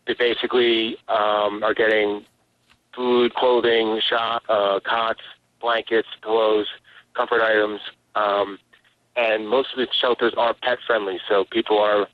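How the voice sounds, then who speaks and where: A man, a spokesman giving halting, informative answers in a live phone interview over a tinny line. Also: lowish voice, level tone, no room sound. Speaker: relief agency spokesman. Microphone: telephone.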